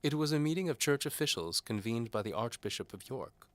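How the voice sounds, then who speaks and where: A man's voice: medium pitch, animated, brisk, no room sound, voice pushed up, lightly acted. A man voicing a pompous, menacing bishop in an audio drama, speaking formally and with condescension. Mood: smug, menacing. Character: pompous, menacing bishop interrogating a prisoner, patronizing. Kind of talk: audio drama character acting.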